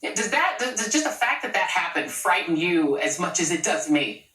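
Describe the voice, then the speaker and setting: A woman's voice: fairly low pitch, very animated, moderate pace, a bit bright. A woman anchoring a cable news show, delivering pointed, sarcastic commentary. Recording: roomy sound from a distant mic.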